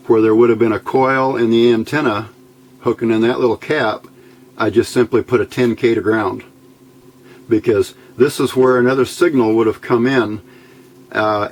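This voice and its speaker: A man's voice: medium pitch, darkish tone. A man giving a casual hands-on electronics demonstration, informally thinking aloud in short phrases. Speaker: electronics hobbyist presenter. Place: indoors at a workbench. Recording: noisy home-video recording.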